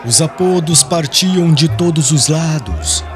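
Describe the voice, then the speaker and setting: A man with a Brazilian accent narrating a literary audiobook in an expressive, scripted style. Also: fairly high pitch, takes it slowly, crowd noise. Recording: music bed under the voice.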